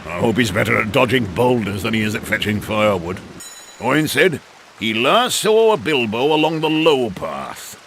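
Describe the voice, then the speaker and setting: Gravelly, grumbling male dwarf. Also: medium pitch, very animated, normal speed, fairly bright tone, slight background noise. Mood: irritated. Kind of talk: video game cutscene acting.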